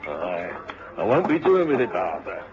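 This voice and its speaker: An actor playing a stubborn middle-aged local man with a Cornish accent and a gruff, rustic manner in a radio drama. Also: deep voice, very animated, normal speed, crowd noise. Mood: stubborn, disapproving. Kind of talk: mid-century radio drama acting. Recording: dull old radio recording.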